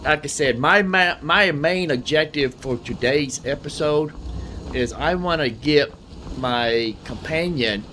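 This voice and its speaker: A man's voice: on the high side, takes it slowly, bright, forceful tone. A man giving casual, chatty let's play commentary, thinking aloud as he goes. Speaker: gaming channel host. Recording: clear background noise.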